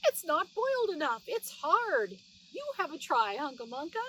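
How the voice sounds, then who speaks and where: Woman voicing a high-pitched bossy boy mouse. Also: sing-song, moderate pace, fairly bright tone. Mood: impatient, demanding. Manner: exclaiming, demanding. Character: bossy, impatient male storybook mouse. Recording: distant mic with faint background noise.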